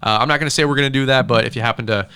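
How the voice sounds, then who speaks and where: A man with a crisp, bright voice talks quickly as a podcast host. His delivery is casual and upbeat, rambling along with bro-ish slang. The sound is close and dry, as in a studio. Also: medium pitch, no room sound. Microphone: close studio microphone.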